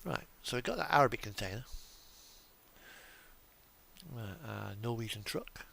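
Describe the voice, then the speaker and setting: A man's voice: mid-range voice, animated, a little fast, gravelly, sharp tone. A British man giving casual, rambling game commentary, joking and musing to himself with the odd swear word. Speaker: video creator commentating on truck simulator driving. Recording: music playing behind the voice. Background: radio music and truck engine sounds.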